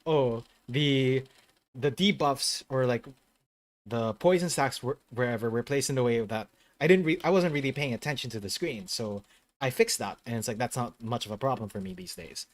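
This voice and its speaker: A man's voice: mid-range voice, moderate pace. A man hosting a casual gaming stream chat. He rambles, corrects himself mid-sentence and laughs easily. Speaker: host of a gaming channel.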